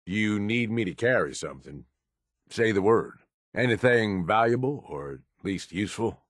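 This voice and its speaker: An actor playing a gruff, cynical middle-aged American raider lieutenant in a video game, blunt and folksy with a drawl. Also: male voice, expressive, moderate pace, fairly bright tone, no echo, studio quality. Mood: skeptical. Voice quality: low, gruff. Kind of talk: video game companion voice lines.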